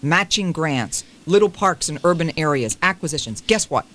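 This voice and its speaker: A woman's voice: sits low, expressive, normal speed, a bit bright. A woman speaking as an official guest in a live radio interview, insistent and emphatic, pushing through interruptions. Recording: noisy radio archive recording.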